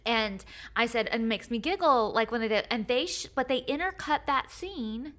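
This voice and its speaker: A woman's voice: a little high, animated, normal speed, a bit bright. A middle-aged woman co-hosting a podcast, chatting casually and animatedly in long run-on stretches, laughing often. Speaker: podcast co-host. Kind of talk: casual chat recapping a reality show.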